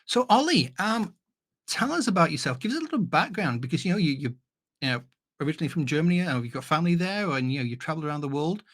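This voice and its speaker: A man's voice: on the high side, big pitch swings, fast talker, fairly bright tone. A man hosting an interview podcast, introducing his guest and asking questions. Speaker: show host and interviewer.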